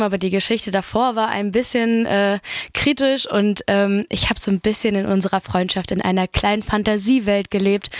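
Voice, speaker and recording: A woman's voice: medium pitch, dry recording, clean studio sound. A woman in her twenties, a singer, chatting casually in an interview with lots of fillers. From Germany. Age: 20s. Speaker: singer and guest.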